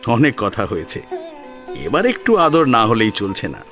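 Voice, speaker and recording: A man's voice: lowish voice, wildly swooping pitch, normal speed, fairly bright tone, faint music behind. A man narrating a serialized story in a dramatic style, as its storyteller.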